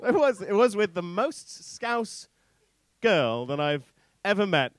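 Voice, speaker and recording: A man in his thirties doing informal stand-up into a handheld mic, rambling, halting and sweary. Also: high voice, big pitch swings, dry recording, studio quality. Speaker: stand-up comedian. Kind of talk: stand-up comedy set, informal crowd banter. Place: on stage in a small indoor comedy club. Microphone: handheld stage microphone.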